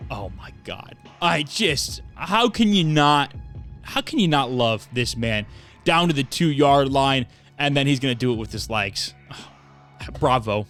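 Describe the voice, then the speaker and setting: A young man giving excited play-by-play commentary on video game football highlights, emphatic and cheering the big plays. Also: slow pace, soft background music.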